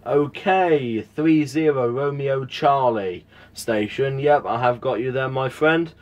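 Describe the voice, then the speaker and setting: A man's voice: medium pitch, takes it slowly, lo-fi sound, a little hiss or hum. A man with a southern English accent making casual CB radio contact, friendly and repeating details as an operator. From United Kingdom. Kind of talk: casual radio contact with call signs and spelling. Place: indoors, at a home radio setup.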